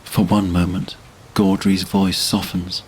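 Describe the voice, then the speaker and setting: A man narrates a fantasy audio drama with solemn gravity. His voice sits very low and moves slowly and deliberately. There is faint noise behind it. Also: level tone, darkish tone.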